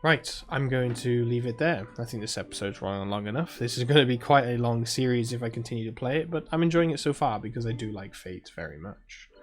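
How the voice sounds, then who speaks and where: A man with a British accent reads visual novel text aloud and adds casual asides as a gaming video host, in a soft-spoken, chilled ASMR-like way. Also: mid-range voice, fairly quick, hardly any pauses, soft background music.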